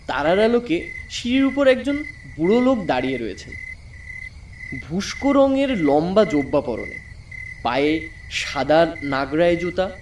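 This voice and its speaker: A man's voice: sits high, distant mic, slight background noise. A man narrating a ghost story expressively in the first person as a runaway schoolboy.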